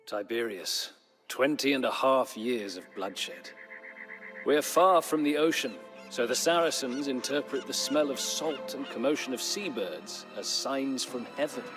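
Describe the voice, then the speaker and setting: A grave, war-weary former crusader , played by a male actor, tells his chronicle as a game storyline narrator. His mid-pitched male voice is solemn and measured, with dramatic weight in the phrasing. Music plays clearly beneath him. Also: close to an everyday voice, poor audio. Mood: somber, foreboding. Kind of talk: dramatic scripted first-person narration. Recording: music under the voice.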